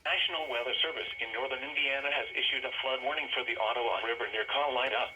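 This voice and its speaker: A man's voice: mid-range voice, fast, highs cut off, over a noisy crowd. An American man reading a formal weather bulletin, heard through a weather radio speaker. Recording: noisy radio broadcast played through small speaker.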